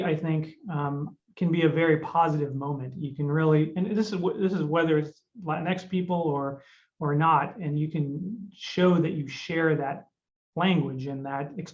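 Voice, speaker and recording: A man's voice: a little high, darkish tone, highs cut off. A man born in Peru, a judge on a webinar panel, thinking aloud with frequent restarts and hesitations. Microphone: video call.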